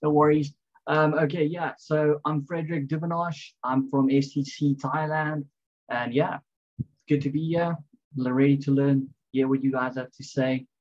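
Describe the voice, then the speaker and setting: A young man from South Africa, introducing himself in a friendly, relaxed way with fillers on a video call. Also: fairly high pitch, normal speed. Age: young adult. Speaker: exchange student. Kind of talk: casual video call chat. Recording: dull lo-fi call audio.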